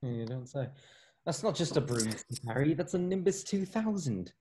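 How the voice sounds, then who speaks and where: A man's voice: medium pitch, lively intonation, normal speed, mellow, slight background noise, natural voice. A man playing a blunt, wry schoolboy best friend in a screenplay table read.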